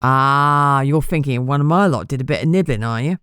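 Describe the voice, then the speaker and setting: A grubby ghoul informant, played by a woman, speaks low in a broad Cockney accent. He is cheeky and streetwise, full of slang and endearments, in a dry, close audiobook recording. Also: moderate pace, fairly bright tone, clean tone, no echo. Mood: wary, bargaining. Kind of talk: audiobook character dialogue.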